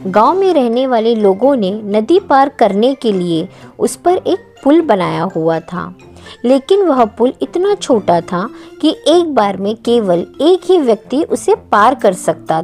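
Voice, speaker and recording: A woman's voice: a little high, a little mellow. A woman narrating a scripted moral story for children as a storyteller. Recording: music behind the voice. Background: music.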